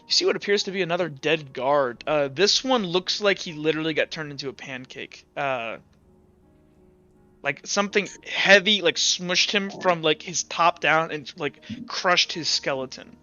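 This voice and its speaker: A woman's voice: sits low, moderate pace, bright, forceful tone, highs cut off. A woman improvising narration as game master of a tabletop game, in a casual, informal way. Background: music.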